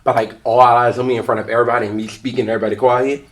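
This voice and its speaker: A man with a speech impediment who talks loudly, a cast member who hosts events. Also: mid-range voice. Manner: loud, fast, expressive. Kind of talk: casual reunion talk.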